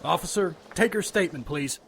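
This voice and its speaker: A man's voice: sits high, lively intonation, brisk, creaky, fairly bright tone, slight background noise, exaggerated voice. A man playing a brusque, authoritative, irritable police lieutenant in a radio drama. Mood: brusque, annoyed.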